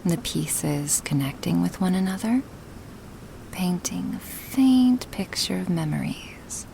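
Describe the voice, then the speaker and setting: A huge, gentle dragoness acted by a woman speaks in a lowish, creaky voice, soft and close as in an ASMR roleplay. Her wording is formal and earnest, with an affectionate, half-whispered delivery. A faint noise sits under the recording. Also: heavily acted, rough recording. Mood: coaxing, playful. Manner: slow, intimate, breathy whispering in places, formal wording.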